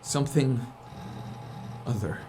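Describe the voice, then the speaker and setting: A man's voice: medium pitch, slow, warm tone, faint music behind, lightly acted. A man playing a hot-headed, sceptical astronaut technician in a science fiction audio drama, sardonic and informal. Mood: wary, arguing.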